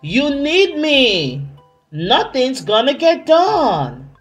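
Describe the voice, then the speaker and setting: A tantrum-throwing storybook elf villain, put on by a woman, screeches in a high, swooping voice. He is bossy and whining, furious at being crossed. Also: huge pitch swings, very slow, faint music behind, full character voice. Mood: desperate. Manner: whining, imperious.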